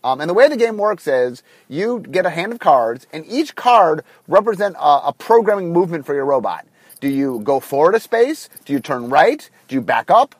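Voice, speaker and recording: A man with a husky voice from a cold, casually telling a long story on his podcast, full of ums and restarts. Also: fairly high pitch, sing-song, normal speed, dry recording. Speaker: podcast host. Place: car, recording on the drive to work.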